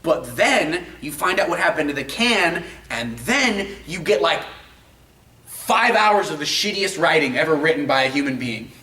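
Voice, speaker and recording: A man's voice: fairly high pitch, expressive, moderate pace, sharp tone. A man hosting a casual game and film chat, talking in an emphatic, profane, ranting way and repeating himself for stress. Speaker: show host. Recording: roomy echo, distant mic, faint noise.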